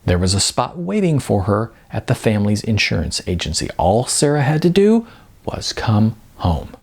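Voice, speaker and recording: A man's voice: on the low side, big pitch swings, normal speed, gravelly, darkish tone, breathy edge. A man narrating comic fiction expressively, with a wry, emphatic, sardonic delivery. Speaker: audiobook narrator.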